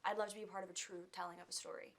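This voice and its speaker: A young adult woman with a soft, quiet voice, an actress talking candidly as an interview guest, peppering her speech with "like" and "um.". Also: fairly low pitch, little pitch movement, rapid-fire. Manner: open, candid, uses fillers like "like" and "um". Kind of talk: casual interview.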